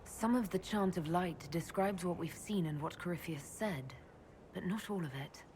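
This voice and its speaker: An actress playing a composed, inquisitive leader of an order in a video game, earnest and level-headed. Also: female voice, on the low side, moderate pace, background noise, close to an everyday voice. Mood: composed, curious.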